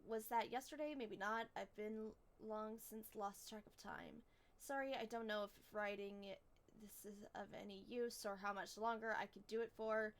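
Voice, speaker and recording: A woman's voice: mid-range voice, fairly bright tone. A woman game streamer reading story text aloud and reacting casually on a live stream.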